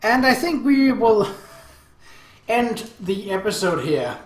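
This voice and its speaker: Male let's play host, lively narration with asides, slightly higher voice, roomy sound. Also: takes it slowly. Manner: expressive, animated. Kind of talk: reading a visual novel aloud with asides. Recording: roomy echo.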